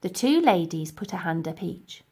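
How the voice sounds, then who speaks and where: A woman reading a children's story aloud in an expressive narrating style. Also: on the low side, moderate pace, slightly rough. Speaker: storybook reader.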